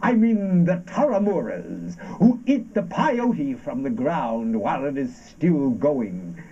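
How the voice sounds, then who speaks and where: Man acting slow, wildly swooping theatrical ranter. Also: medium pitch, very animated, warm tone, slightly rough, full character voice. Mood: brooding, incantatory. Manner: halting, deliberate, dramatic swings of emphasis. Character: brooding, sardonic ranter delivering absurdist anti-war poetic monologue. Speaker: stage actor. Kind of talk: theatrical monologue from an avant-garde radio play adapted for stage. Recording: dull, noisy lo-fi stage recording.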